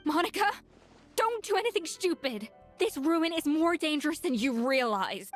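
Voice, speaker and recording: A woman's voice: high voice, expressive, normal speed. An actress voicing a composed young woman chef who speaks bluntly and with exasperation. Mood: urgent. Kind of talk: video game voice acting. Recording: game audio with music behind.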